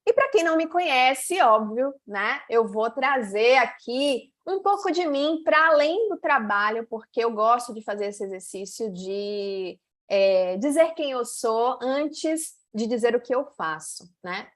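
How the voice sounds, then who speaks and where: A woman's voice: a little high, expressive. Bahian woman mentor teaching a masterclass. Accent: Bahian Brazilian Portuguese. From Salvador, Bahia, Brazil. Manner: frequent "né" and "tá" tags, pauses and drawn-out stretches. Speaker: women's mentor. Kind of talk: online masterclass talk.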